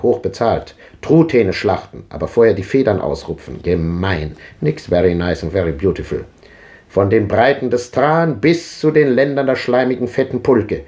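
A man's voice: fairly low pitch, darkish tone. An actor playing a restless, brooding old man in a German radio drama of the 1950s, musing in clipped fragments. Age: older. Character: restless, brooding old man recalling rough odd jobs.

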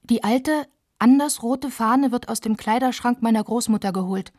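A woman's voice: a little high, normal speed, a little mellow, dry recording, studio quality. A woman reading scripted first-person literary narration in short, simple sentences. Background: music.

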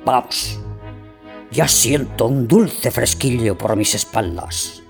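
A man playing a sly, gossiping sprite in a radio drama, measured and conspiratorial. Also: mid-range voice, expressive, vocal fry. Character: sly, gossiping male sprite commenting on the tale. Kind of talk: stage-like radio drama acting. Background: music.

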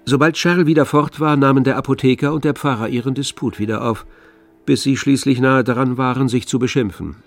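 Mid-pitched man narrating over faint strings. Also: steady tone, brisk, gravelly. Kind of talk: scripted literary narration for radio play. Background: faint string music.

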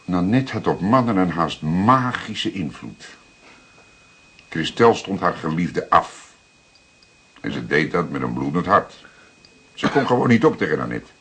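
An actor playing a seasoned older police detective in a Dutch radio drama, explaining things deliberately with dramatic pauses. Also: male voice, sits low, sing-song, a bit bright, slightly rough, full character voice. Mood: patient, explaining. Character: seasoned older male police detective, calm, plain-spoken storyteller.

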